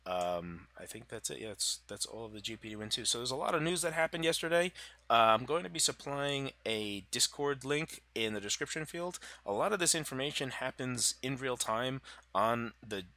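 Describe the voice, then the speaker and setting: A man's voice: mid-range voice, lively intonation, normal speed, bright, forceful tone. A man with a cold, sounding congested, casually explaining gadget specs over slides with many fillers and restarts. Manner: loose, filler-heavy, self-correcting. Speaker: tech channel host.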